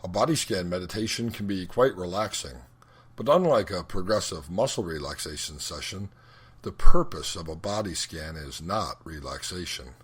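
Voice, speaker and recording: Husky, very low-voiced man guiding meditation. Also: expressive, normal speed. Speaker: meditation instructor. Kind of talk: guided meditation instruction.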